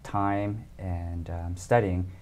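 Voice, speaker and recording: A man in his thirties from the United States, talking casually as an interview guest, relaxed with many ums and easy laughs. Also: low-pitched, drawn-out words.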